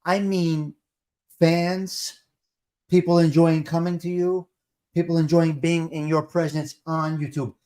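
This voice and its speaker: A man's voice: a little high, level tone, unhurried, warm tone. A man with a New Jersey accent chatting casually as a livestream host, plain-spoken and repeating phrases for emphasis. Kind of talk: casual chat.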